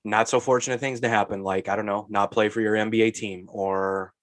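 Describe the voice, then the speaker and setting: Late 30s guy w/ a head cold, lowish voice, blunt and swears a lot, dry close mic. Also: fairly even intonation, fairly quick, a bit bright, no echo. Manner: blunt, profane and offhand. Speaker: podcast co-host. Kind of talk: casual chat.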